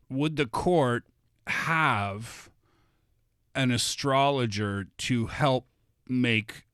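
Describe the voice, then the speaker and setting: A man's voice: medium pitch, fairly bright tone, no room sound. Man hosting in a dry studio. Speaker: talk show host. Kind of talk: casual studio banter.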